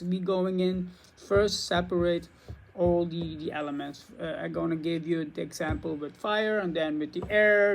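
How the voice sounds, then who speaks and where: A man from Belgium, a native Dutch speaker with a Belgian Dutch accent, chatting to camera as a spiritual teacher in an excitable, rambling way. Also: sits high, gravelly, poor audio. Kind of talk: casual talk to camera.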